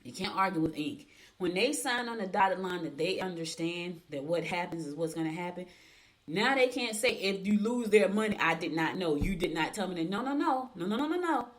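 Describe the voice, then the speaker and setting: A woman with a slightly low voice hosts a radio segment. She reads horoscopes and adds casual advice. Her delivery is blunt and emphatic, and she repeats key points to drive them home. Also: lively intonation, brisk. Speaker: radio host.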